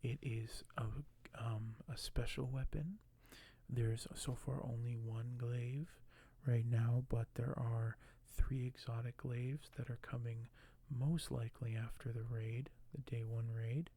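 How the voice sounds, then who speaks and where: A man speaking softly and gently for an ASMR video, sometimes close to a whisper, with a halting delivery as host. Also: medium pitch, little pitch movement, slightly rough. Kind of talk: soft-spoken ASMR, partly whispered; reading aloud and commenting on collectibles.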